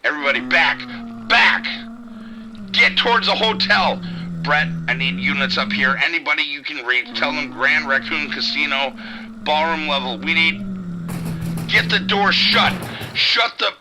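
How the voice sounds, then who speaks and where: A man's voice: fairly high pitch, measured pace, music in the background, close to an everyday voice, rough recording. An actor playing a frightened male police officer calling for help over the radio, urgent and swearing. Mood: shouting. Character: male police officer trapped in a casino outbreak. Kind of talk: audio drama acting.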